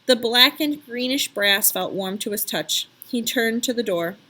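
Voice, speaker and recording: A woman narrating a children's story aloud in an expressive, emphatic storytelling style. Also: on the high side, a little slow.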